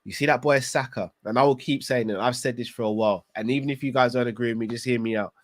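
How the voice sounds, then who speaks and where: A man with a congested, bunged-up voice from a cold, hosting a casual football recap. He talks emphatically and pushes his opinions hard, at an ordinary mid pitch for a man. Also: steady tone, fast talker. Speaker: football channel host.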